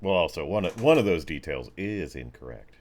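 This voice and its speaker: A man's voice: sits low, very animated, fairly quick. A man narrating a tabletop game as game master in casual, slangy language with vivid action descriptions.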